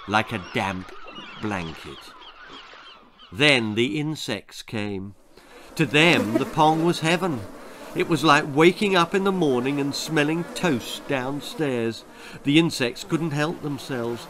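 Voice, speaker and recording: A man's voice: fairly high pitch, noisy background. A British man in his sixties reading a children's story aloud in a dramatic, theatrical manner. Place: small book-lined room indoors.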